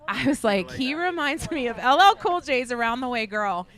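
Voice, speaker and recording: A woman's voice: sits high, animated, moderate pace, a bit bright. A woman hosting a podcast, chatting playfully with her guest and laughing often. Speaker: podcast host. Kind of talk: casual chat. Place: cider works event space.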